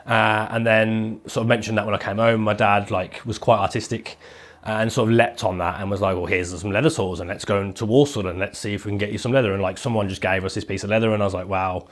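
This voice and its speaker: A man's voice: lowish voice, fairly even intonation, fast. A man in his thirties with a head cold, sounding nasal as he tells his story in casual, rambling chat full of "like". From England. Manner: casual, rambling, heavy use of "like". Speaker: podcast guest, leather maker.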